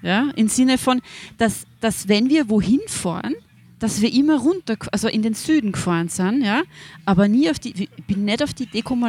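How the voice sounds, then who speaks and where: A woman's voice: medium pitch, darkish tone. A woman with an Austrian German accent, co-hosting a podcast outdoors by a river, chatting with enthusiasm and frequent interjections. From Waldviertel, Austria. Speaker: podcast co-host. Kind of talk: casual interview chat. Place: outdoors by a river at a music festival.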